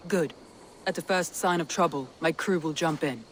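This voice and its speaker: An actress playing a noble Viking leader in a video game, speaking in an earnest, formal and resolute way. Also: female voice, deep voice, moderate pace, heavy background noise, close to an everyday voice. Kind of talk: dramatic video game acting.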